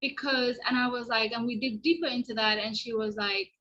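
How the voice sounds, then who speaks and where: Guest: Somali-native woman, earnest and reflective, bright husky voice, dull remote-call audio. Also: on the high side, fairly even intonation, a little fast. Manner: earnest, reflective, many restarts. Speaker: podcast guest. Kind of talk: casual podcast conversation. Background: washing machine noise.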